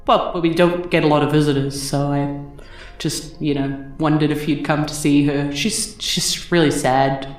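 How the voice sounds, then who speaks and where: A woman's voice: low-pitched, steady tone, moderate pace, roomy sound, faint music behind, pitched below the performer's own voice, lightly acted, poor audio. A woman with a New Zealand accent voicing a simple, friendly male cloud servant who rambles naively in a tabletop game. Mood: hesitant, hopeful.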